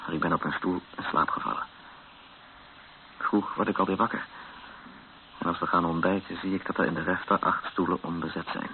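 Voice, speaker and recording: An actor playing a weary, frightened captive who narrates a radio drama in brooding short sentences. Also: male voice, low voice, steady tone, a little fast, pauses often. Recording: muffled, noisy old radio recording.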